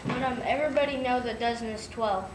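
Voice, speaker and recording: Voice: mid-range voice, fast talker, distant mic, lightly acted. A young schoolchild playing a proud, stubborn baker, reciting lines in a school readers' theater. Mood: indignant. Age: child, about eight or nine. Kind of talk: recited school play dialogue. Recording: echoey, noisy room from afar.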